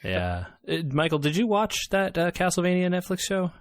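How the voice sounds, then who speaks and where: A man's voice: sits high, sing-song, normal speed, no room sound, studio quality. A man co-hosting a podcast in casual conversation about games and media. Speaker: podcast co-host.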